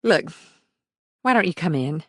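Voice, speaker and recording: A man's voice: high voice, big pitch swings, breathy edge, dry recording, pitched above the performer's own voice, exaggerated voice. A male narrator voicing a weary young mother of about thirty with a dry, frazzled way of talking. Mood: wry, weary. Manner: dry, frazzled, quick to chatter.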